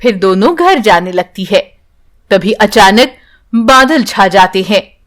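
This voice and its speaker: A woman's voice: medium pitch, lively intonation, takes it slowly, fairly bright tone. A woman reading a scripted children's story as narrator.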